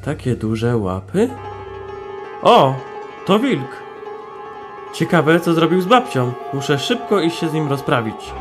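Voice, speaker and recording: A man's voice: a little high, very animated, takes it slowly, long pauses, music in the background. A man playing a curious, brave forester in a fairy-tale audio drama. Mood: curious, resolute.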